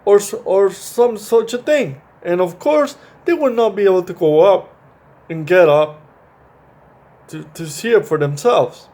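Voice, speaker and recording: A man reads as an imaginative little storybook boy, playful and earnest. The pitch is raised and the words come slowly and haltingly. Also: lively intonation, dark tone.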